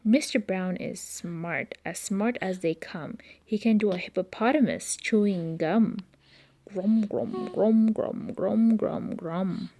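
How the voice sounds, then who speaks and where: Unhurried, mellow woman reading to children. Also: medium pitch, slight background noise. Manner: expressive, with playful imitations of noises. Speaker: children's book reader. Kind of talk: read-aloud storytelling for young children.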